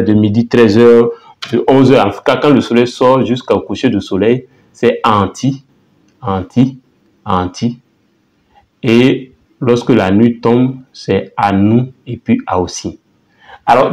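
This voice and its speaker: A man teaching a language lesson in a casual, halting way, often repeating words and saying phrases slowly. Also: lowish voice, darkish tone. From Côte d'Ivoire.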